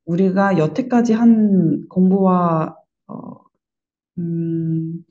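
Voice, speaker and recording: A woman's voice: lowish voice, warm tone, highs cut off. A woman from a performing arts team giving a thoughtful review in a group discussion, often searching for words.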